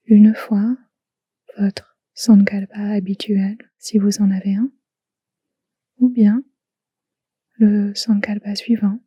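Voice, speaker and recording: A woman guiding a relaxation meditation in a soft, hushed, soothing way, in short phrases with long pauses. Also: medium pitch, slightly rough, dry recording, highs cut off. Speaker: yoga nidra guide.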